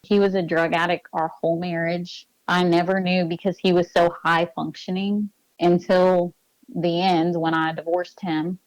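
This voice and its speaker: Woman, interview guest, slightly low pitch, dry sound, earnest, insistent, personal storytelling. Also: flat delivery, normal speed, no echo, poor audio. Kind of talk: personal account in a podcast interview.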